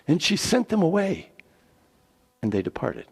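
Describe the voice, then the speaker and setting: A man giving a dramatic scripted scripture narration, deliberate and theatrical, with weighty pauses. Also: a little high, wildly swooping pitch, creaky, husky. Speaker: scripture reader. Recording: echoey hall with long reverb.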